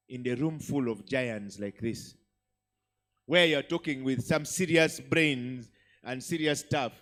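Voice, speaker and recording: A man's voice: mid-range voice, a bit bright. A man hosting a live summit as MC, speaking in an emphatic, encouraging way and often repeating himself to call for applause. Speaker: event MC. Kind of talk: lively crowd-hosting, asking for applause. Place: summit hall with audience.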